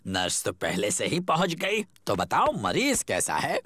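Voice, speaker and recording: A man's voice: mid-range voice, wildly swooping pitch, measured pace, fairly bright tone, dry recording, studio quality, full character voice. A man voicing jovial grown-up animals, like a daddy or kindly doctor, in a playful, exclaiming children's cartoon style. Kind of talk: children's cartoon dialogue, Hindi dub.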